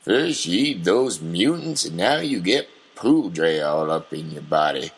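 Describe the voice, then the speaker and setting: Grumbling, accusatory older dad acted by a man, deep and creaky, slow delivery, surreal radio play. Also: big pitch swings, full character voice. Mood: indignant. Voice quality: gravelly, husky. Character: bitter, scolding older father called Pa. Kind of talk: surreal comic audio drama acting.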